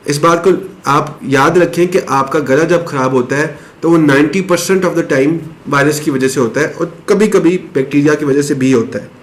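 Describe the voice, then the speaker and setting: A man's voice: a little high, normal speed, hardly any pauses. Chatty man explaining remedies. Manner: chatty, explains step by step with fillers and restarts, clears throat now and then. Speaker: health advice presenter. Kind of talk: casual explanatory talk to camera.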